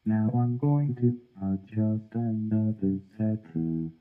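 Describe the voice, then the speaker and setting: A man's voice: fairly low pitch, slow pace. A man demonstrating an effects pedal, his speech turned robotic by a guitar-driven vocoder. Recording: muffled vocoder output under loud guitar. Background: loud electric guitar chords.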